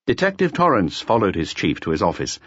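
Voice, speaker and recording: A man's voice: on the low side, wildly swooping pitch, brisk, slightly rough, no room sound. A man narrating a scripted audiobook in a dramatic storytelling style. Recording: slightly dull, dry audiobook recording.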